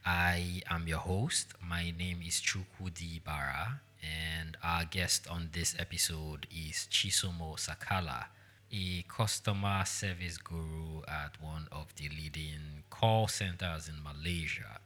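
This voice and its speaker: Slow, deep-voiced male podcast host. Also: fairly even intonation, sharp tone. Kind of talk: podcast interview.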